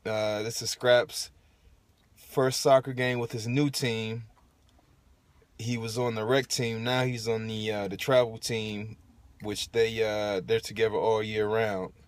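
A man in his thirties hosting a casual phone livestream from inside a parked car, relaxed and chatty, laughing often and using lots of fillers. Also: lowish voice, a little slow, no room sound. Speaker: livestream host. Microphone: phone held at arm's length.

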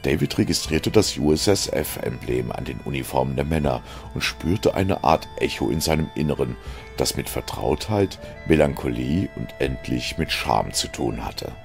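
A man's voice: a bass voice, lively intonation, moderate pace, creaky, soft background music. A man narrating a scripted audio story in a dramatic reading style.

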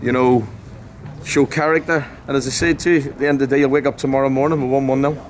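A man's voice: mid-range voice, flat delivery, quick pace. A man in his forties, a football manager giving a blunt, passionate post-match interview outdoors at a ground at night. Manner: emphatic, repetitive, blunt and passionate. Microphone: handheld mic held close. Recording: faint outdoor noise.

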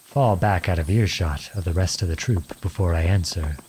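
A man playing a gentle, chivalrous young outlawed prince in a romantic fantasy audio roleplay, speaking in formal storybook phrases. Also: sits low, normal speed, slight background noise, close to an everyday voice. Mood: guarded, confiding. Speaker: ASMR roleplay voice actor. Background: horse hooves clip-clopping.

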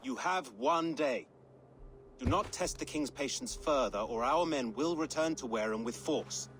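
Casting: mid-pitched Saxon retainer played by a man, haughty, sneering, loyal to his king, game drama. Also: lively intonation, moderate pace, a bit bright, a little hiss or hum, lightly acted. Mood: stern. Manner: haughty, mocking. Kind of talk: dramatic video game acting.

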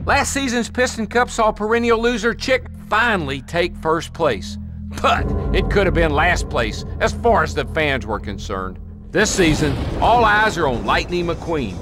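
Cartoon game sportscaster: Southern American, folksy, high-pitched and lively. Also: male voice, a little slow, over music. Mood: enthusiastic. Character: folksy, excitable male race-car sportscaster. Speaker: sports commentator. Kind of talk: animated game sports commentary.

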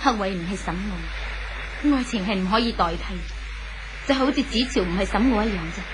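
A woman's voice: medium pitch, expressive, normal speed, natural voice. A woman playing a jealous, despairing spurned wife in a 1970s radio drama, impassioned and brittle, with a Hong Kong Cantonese accent. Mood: wounded, jealous. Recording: faint hiss on old radio recording.

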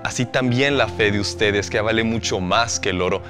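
A man's voice: on the low side, moderate pace. Solemn male voice-over with music. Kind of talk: scripted scripture reading.

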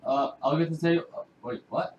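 A man's voice: on the high side, fairly quick, reverberant, distant mic, highs cut off, slight background noise. A man playing a video game with a relative, jokey and a bit panicky, calling out items as he goes. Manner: jokey, panicky, blurts out item names. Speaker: co-player, brother-in-law. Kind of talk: casual gameplay chat.

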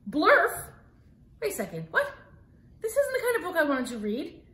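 A woman's voice: very high voice, wildly swooping pitch, normal speed, pushed and bright. A middle-aged woman reading a children's book aloud in a theatrical, playful way with mock-exasperated asides. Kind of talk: animated read-aloud of a picture book for children. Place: living room at home, indoors. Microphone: phone camera a few feet away. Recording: roomy home phone-video sound.

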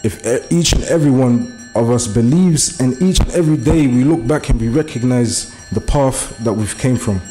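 A man's voice: medium pitch, normal speed, hardly any pauses, dark tone, soft background music, poor audio. A young man from Germany giving an earnest public speech at a meeting, hesitant and full of fillers at first, then building to urgent appeals. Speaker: community speaker. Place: meeting room.

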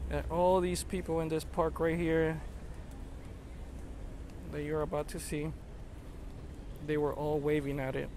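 Guy flying a drone outside, chatty and amazed, kinda high voice, traffic noise behind. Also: measured pace, pauses often. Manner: excited, chatty, marveling. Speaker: drone videographer. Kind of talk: casual live narration while flying a drone.

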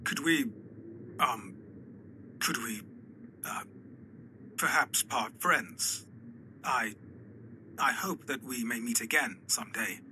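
A man playing an awkward, wounded gentleman suitor, formal and hesitant, in a noisy audio drama recording. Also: medium pitch, slow, pauses often. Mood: awkward, hopeful. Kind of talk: radio play acting. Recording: loud background noise.

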